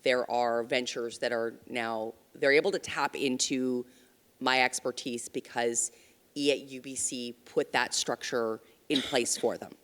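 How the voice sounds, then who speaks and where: A woman's voice: very deep voice, steady tone, unhurried, fairly bright tone. Hesitant woman panelist in a big hall. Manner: hesitant, many ums and restarts. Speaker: marketing and communications mentor on a panel. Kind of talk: casual panel talk. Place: conference stage. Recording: reverberant hall.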